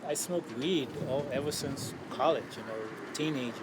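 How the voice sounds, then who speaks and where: An older Bahamian man in his seventies speaks candidly and thoughtfully, stopping and restarting as he searches for words. He is recorded outdoors on a city street, with loud traffic noise behind him. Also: medium pitch. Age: 70s. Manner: reflective, frank, halting with restarts. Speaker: interviewee.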